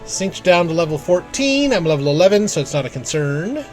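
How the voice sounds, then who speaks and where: A man's voice: on the high side, big pitch swings, brisk, soft background music. A man giving casual live video game commentary, talking to himself with wry asides.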